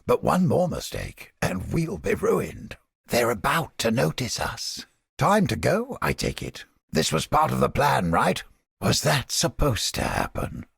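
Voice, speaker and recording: Haughty old game scholar, gravelly rasp, slow measured delivery, pompous, irritable, cynical. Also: male voice, mid-range voice, huge pitch swings, fairly bright tone, dry recording, studio quality, full character voice. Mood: dry, sardonic. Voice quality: raspy, gravelly. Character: cynical, haughty old heretic scholar with mind-control powers. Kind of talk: dramatic video game voice acting.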